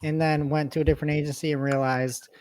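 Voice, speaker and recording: A woman's voice: sits low, little pitch movement, fast talker, darkish tone. A woman chatting casually about ad tools, upbeat and full of fillers. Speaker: paid search guest. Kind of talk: casual chat.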